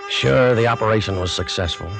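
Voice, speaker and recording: An actor playing a cynical, tough American wartime agent with clipped, slangy, world-weary delivery in 1940s radio drama style. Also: male voice, lowish voice, fairly quick, vocal fry, over loud music. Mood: rueful. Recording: noisy old 1940s radio recording.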